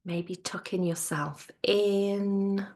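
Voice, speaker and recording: A woman with a mid-pitched, soft voice leads a guided relaxation. She speaks slowly and soothingly, leaving space between phrases. Her words often sink gently toward a near-whisper. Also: fairly even intonation. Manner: soothing, gentle, unhurried with pauses. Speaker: yoga nidra teacher.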